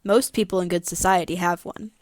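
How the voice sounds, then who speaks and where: A woman's voice: lowish voice, fairly even intonation, a little fast, no room sound. A woman co-hosting a casual conversation, giving short replies and reading brief passages aloud. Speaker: podcast co-host.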